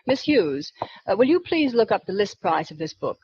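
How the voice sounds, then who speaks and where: An actress playing a prim, reserved librarian who speaks in a precise, proper way, in 1940s radio acting. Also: female voice, medium pitch, big pitch swings, moderate pace, noisy background, lightly acted. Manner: precise, proper, rule-bound speech. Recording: dull dry 1940s radio broadcast recording.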